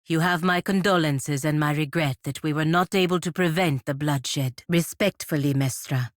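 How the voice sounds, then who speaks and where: Actress as dutiful, disapproving female knight-diplomat, fantasy RPG. Deep register, formal measured delivery, dry studio sound. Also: no echo, natural voice. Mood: formal, respectful. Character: proud, stern, dutiful female paladin envoy of a merchant republic. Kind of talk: scripted fantasy video game dialogue.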